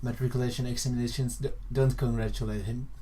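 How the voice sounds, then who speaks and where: A young man from Finland with a Finnish accent, a native Finnish speaker, explaining things casually in a halting way, repeating and rephrasing himself. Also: mid-range voice, flat delivery, mellow, a little hiss or hum. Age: young adult. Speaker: vlogger. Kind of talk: casual informal explainer to camera.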